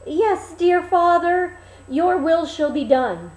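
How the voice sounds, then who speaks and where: Woman as an earnest storybook young prince, unhurried. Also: extremely high pitch, lively intonation, darkish tone, roomy sound, pitched above the performer's own voice, exaggerated voice. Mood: dutiful. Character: young prince, later king, earnest and trusting.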